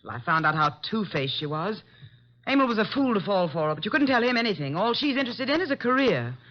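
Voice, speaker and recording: A woman's voice: medium pitch, big pitch swings, quick pace. A woman playing a bitter, defensive young actress under questioning in a vintage radio melodrama, with emphatic delivery. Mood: bitter, evasive. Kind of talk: 1950s radio melodrama acting. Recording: muffled vintage radio recording.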